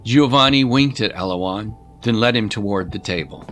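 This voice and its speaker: A man's voice: fairly low pitch, normal speed, fairly bright tone. A man narrating a scripted story as an audiobook narrator, in a dramatic storytelling style. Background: music.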